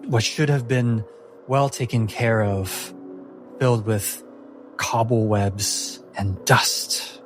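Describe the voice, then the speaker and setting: A man narrating a tabletop fantasy story as game master, in deliberate, suspenseful fragments. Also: lowish voice, fairly even intonation. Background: background music.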